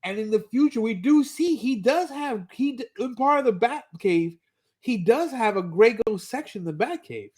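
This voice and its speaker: A man with a high voice co-hosts a fan rewatch podcast. He talks casually through scenes and adds his commentary, often doubling up on words as he looks for the next phrase. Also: expressive, moderate pace, a little mellow. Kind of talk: casual chat, recapping and commenting on a cartoon.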